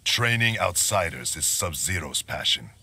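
A man's voice: deep voice, big pitch swings, a little slow, pushed and bright, husky, full character voice. A man playing a stern, vengeful ninja warrior in a video game, grave and formal. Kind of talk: video game character dialogue.

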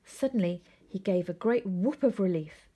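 Husky woman reading a children's story. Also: medium pitch, normal speed, dark tone. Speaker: storybook reader. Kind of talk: expressive children's story read-aloud. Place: indoors at home, seated.

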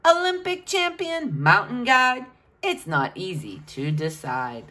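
Female storyteller for young children, higher-pitched, hugely varied sing-song intonation. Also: big pitch swings, slow pace, pushed and bright. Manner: addresses children directly, sing-song questioning delivery. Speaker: storyteller reading a picture book to children. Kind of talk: children's picture-book read-aloud.

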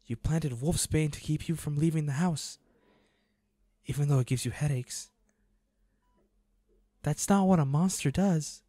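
A man playing a devoted, grieving young hunter in an audio drama, speaking intimately in a halting, pleading, emotional way to an injured lover. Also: a little high, dark tone, no echo. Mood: reassuring. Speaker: audio roleplay voice actor.

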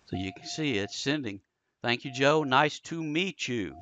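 A man giving a casual, conversational software tutorial, explaining things step by step as an instructor. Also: mid-range voice, animated, measured pace, slight background noise. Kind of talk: casual screen-share software tutorial narration. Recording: dull lo-fi close dry voice-over.

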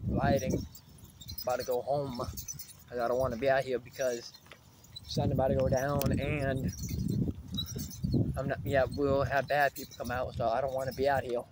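A young American man rambling casually in a vlog, halting and repeating himself, recorded on a phone at arm's length outdoors. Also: medium pitch. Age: young adult. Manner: repetitive, halting, trailing off. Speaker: daily vlogger. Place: outdoors on a bike by streets. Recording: very noisy outdoor recording, wind and vehicles.

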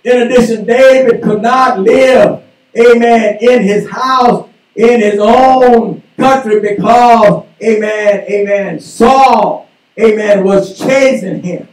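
A man preaching a sermon from a church pulpit, slowly working through his points and dropping "amen" after almost every phrase. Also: very high voice, fairly even intonation. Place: small church sanctuary, indoors. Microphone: pulpit mic, filmed by a far camera. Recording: echoey room from far off.

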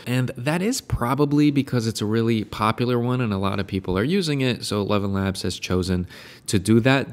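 A young adult man hosting a screen-recorded software tutorial, explaining features in a friendly, step-by-step way. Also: medium pitch. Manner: friendly, explanatory, recommends affiliate link. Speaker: tutorial host.

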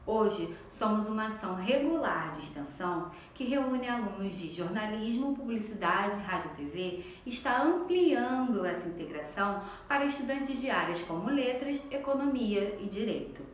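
A woman's voice: mid-range voice, animated, moderate pace, hardly any pauses. Woman narrating in an echoey room. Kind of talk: scripted voice-over narration.

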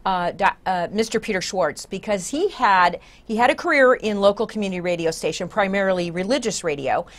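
A woman's voice: mid-range voice, animated, brisk. A mature woman hosting a conversational TV interview in a studio, speaking emphatically. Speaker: talk show host. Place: indoor TV talk show studio.

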